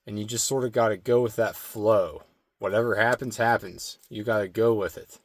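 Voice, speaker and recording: Man, slightly hoarse strained throat, slow meditative card reading, lots of "like" fillers, dry close sound. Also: on the low side, steady tone, no echo. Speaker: spiritual card reader. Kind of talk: intuitive oracle card reading.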